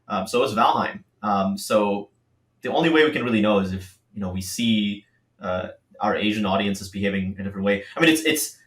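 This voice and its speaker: Male co-founder, lower voice, lively casual talker, reverberant room. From Singapore. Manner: animated, quick, many fillers. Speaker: startup co-founder. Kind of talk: casual podcast chat.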